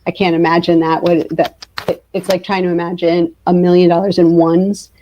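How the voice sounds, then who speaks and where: A woman in a relaxed conversational interview, her voice a little on the low side. She tells stories in a wry, self-deprecating way. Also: normal speed, highs cut off. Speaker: author, interview guest. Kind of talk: casual anecdotal chat.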